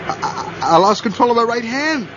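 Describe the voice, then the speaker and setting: A man playing a haunted, defensive family man in a radio horror drama, halting and agitated as he speaks. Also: very high-pitched, expressive. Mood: disturbed. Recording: dull audio with clear background noise.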